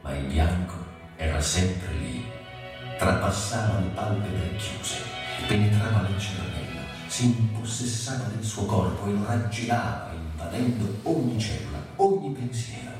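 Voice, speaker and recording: An actor playing a solemn literary narrator in a radio drama, brooding and deliberate, lingering on single words. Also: male voice, lowish voice, lively intonation. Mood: anguished, solemn. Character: brooding literary narrator of a suffering man's inner world. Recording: cavernous echo, distant, loud violin music. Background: loud violin music.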